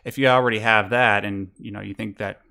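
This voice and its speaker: A man's voice: lowish voice, steady tone, fairly quick, vocal fry, sharp tone. A man hosting a call-in stream, offering rambling, encouraging advice with lots of fillers and asides. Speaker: host. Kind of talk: casual call-in advice chat. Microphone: video call.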